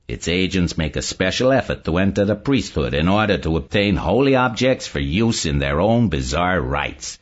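A man's voice: low-pitched, voice pushed down. A man voicing a confident, eager male investigator in audiobook dialogue, explaining emphatically, on a dull lo-fi recording.

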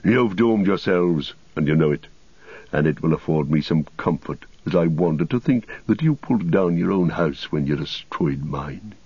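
A man voicing a sneering fallen old wizard reduced to beggary, in a cracked, husky voice with mocking archaic phrasing, as in an audiobook. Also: low-pitched, animated, moderate pace, no room sound, dull audio, full character voice. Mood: scornful, gloating.

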